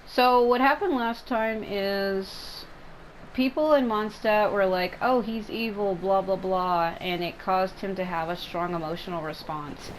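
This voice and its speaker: A woman's voice: medium pitch, fairly even intonation, unhurried, slight background noise, rough recording. A woman giving casual gameplay commentary, explaining in a rambling way and often rephrasing herself. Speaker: gaming content creator. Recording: game music behind the voice. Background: video game music.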